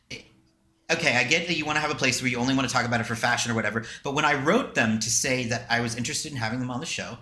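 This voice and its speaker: A man's voice: medium pitch, pushed and bright, echoey room. A man hosting a casual podcast, rambling along and often stopping to repeat himself. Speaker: podcast host.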